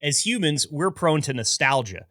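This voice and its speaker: Male podcast host, bright forceful tone, dry close-mic sound, scripted history read. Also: fairly high pitch, lively intonation, moderate pace, dry recording. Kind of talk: scripted narration.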